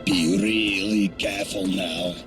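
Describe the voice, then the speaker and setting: An actor playing a cynical, foul-mouthed smuggler guide in a post-apocalyptic video game, sardonic, blunt and bossy. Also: male voice, low voice, steady tone, slow. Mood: wry, watchful. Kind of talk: dramatic video game acting. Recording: loud music bed under voice.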